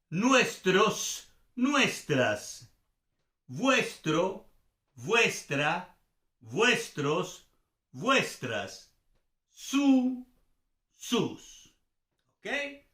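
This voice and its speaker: Male language tutor, Venezuelan accent, native Spanish speaker; highish lively voice, informal and didactic, repeats examples for learners. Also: roomy sound. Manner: didactic, repeats examples, checks understanding with questions. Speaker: language teacher and host. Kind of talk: informal Spanish grammar lesson.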